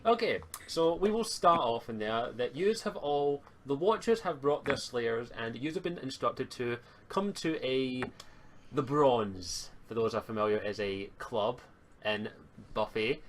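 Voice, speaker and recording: A man improvising casual narration as game master of a tabletop roleplay, in a halting way. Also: mid-range voice, lively intonation, slight background noise.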